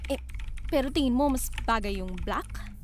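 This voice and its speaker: A woman's voice: high-pitched, normal speed, slight background noise, natural voice. A woman with a Filipino accent acting a young woman talking to her best friend in an amateur drama. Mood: unsure, probing.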